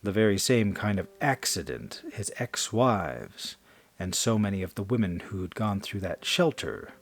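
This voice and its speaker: A man's voice: lowish voice, lively intonation, unhurried. A man reading a scripted horror story as narrator, with a theatrical, suspenseful delivery.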